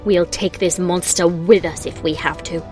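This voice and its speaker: A woman's voice: on the low side, steady tone, moderate pace, a little mellow, faint music behind, lightly acted. A woman playing an aging, defiant Jedi commander who gives stern, urgent orders in an audio story.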